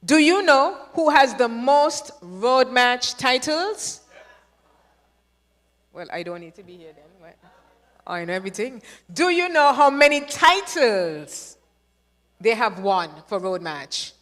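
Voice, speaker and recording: A woman's voice: a little high, very animated, slow, a bit bright. Woman hosting in an echoing hall. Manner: playful, chatty, asks the audience questions. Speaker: awards show host. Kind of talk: live awards ceremony hosting. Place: large hall. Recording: echoey hall with long reverb.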